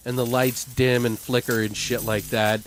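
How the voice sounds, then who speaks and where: A man's voice: mid-range voice, little pitch movement, moderate pace, over music, rough recording. A man co-hosting, casually brainstorming a made-up story in a loose, rambling, profane way. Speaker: podcast co-host.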